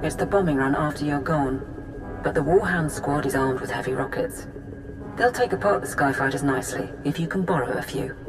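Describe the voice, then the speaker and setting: A female military intelligence officer in a video game , voiced by an actress, with a very low voice. She is pragmatic, dry-witted and businesslike as she briefs her commander. Music runs clearly behind her. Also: brisk, close to an everyday voice, rough recording. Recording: game audio under loud music. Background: orchestral game music.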